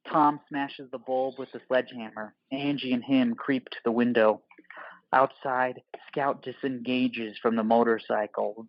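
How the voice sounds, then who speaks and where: A man's voice: medium pitch, no room sound, muffled recording. Dry, clipped male narrator. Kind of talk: scripted narration of screenplay directions.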